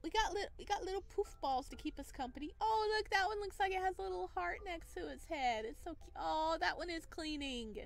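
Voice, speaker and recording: A woman reading a visual novel aloud and commenting on it in a gushing, excitable way. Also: very high voice, a little fast, gravelly, few pauses. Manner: gushing, excitable over cute things. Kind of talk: dramatic read-aloud with excited playthrough commentary. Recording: background music behind voice.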